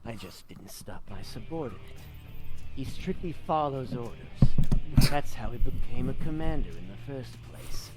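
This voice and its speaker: A man's voice: medium pitch, expressive, moderate pace, loud music behind the voice, natural voice, low-quality recording. A man voicing an embittered, menacing hedgehog military commander in a comedic fan dub, with cold, theatrical delivery. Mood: grim.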